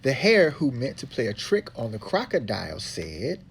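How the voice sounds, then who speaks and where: A woman's voice: rumbling low, huge pitch swings, normal speed, slight background noise. A woman reading a storybook aloud as the narrator. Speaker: narrator, reading partner to a child.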